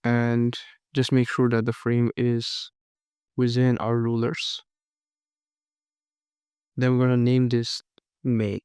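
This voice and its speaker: A man with a cold walking through a design tutorial, unhurried and thinking aloud, his voice sometimes cracking. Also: mid-range voice, completely flat delivery, a little mellow, smooth, no echo, studio quality. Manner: unhurried, thinking aloud with frequent fillers and restarts. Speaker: design course instructor. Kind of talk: screen-recorded software tutorial.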